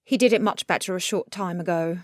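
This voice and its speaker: An actress playing a bold young tavern coquette in an audio play, forward and saucy. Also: female voice, fairly low pitch, fairly quick, no echo, studio quality, natural voice. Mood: brusque.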